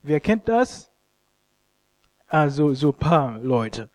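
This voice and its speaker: A man, a Luganda speaker, preaching a sermon in an expressive, halting way and often stumbling over words. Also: a little high. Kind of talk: sermon with scripture reading and commentary.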